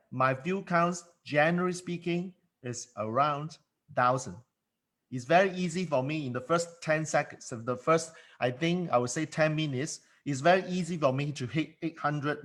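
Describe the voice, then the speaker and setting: A man's voice: fairly high pitch, a bit bright. A man coaching in a solo monologue, emphatic and given to repeating words, speaking English as a second language. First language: not English. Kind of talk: motivational monologue.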